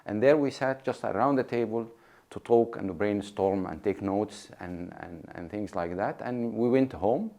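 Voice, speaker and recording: A man's voice: on the low side, normal speed, mellow. A man in a documentary interview, reflectively telling how a project took shape. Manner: storytelling, reflective.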